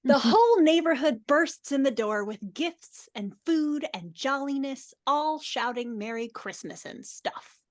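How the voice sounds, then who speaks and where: A woman's voice: high-pitched, animated, unhurried, hardly any pauses. A woman narrating stage directions in a casual live script reading.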